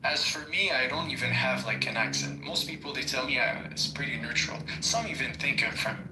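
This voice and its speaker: A man's voice: medium pitch, brisk, reverberant, background noise. A man from Quebec whose first language is French, talking in a casual debate and explaining at length with many restarts. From Quebec, Canada.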